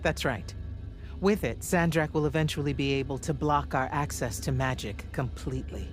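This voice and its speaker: Senior female commander mage, grave, measured, authoritative, game cutscene, faint music behind. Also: extremely low pitch. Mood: grave, explanatory. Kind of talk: video game cutscene acting.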